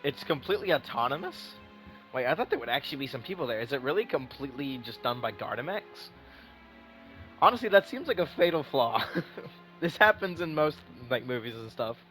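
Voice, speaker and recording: A man's voice: medium pitch, lively intonation, a little fast, a bit bright. A man giving rambling, wry, casual reaction commentary on a video game story. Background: game music.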